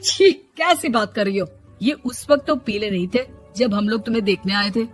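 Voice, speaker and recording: A woman's voice: medium pitch, animated, moderate pace, faint music behind, close to an everyday voice. A woman playing an exasperated middle-aged mother-in-law in a cartoon comedy, scolding and complaining in a dismissive way. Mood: disgusted. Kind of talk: cartoon comedy story acting.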